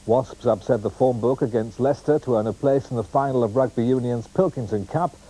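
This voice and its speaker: A man's voice: mid-range voice, brisk, warm tone, poor audio. A British man reading a scripted sports bulletin as a television news presenter. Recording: clear background noise, 1990s TV broadcast.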